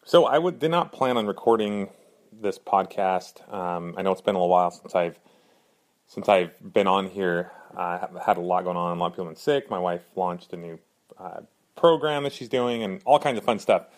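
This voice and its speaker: Hoarse man, very deep voice, earnest rambling podcast host, lots of ums. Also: normal speed. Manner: earnest, rambling, frequent fillers and restarts. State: hoarse from a cold. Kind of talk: casual solo podcast talk.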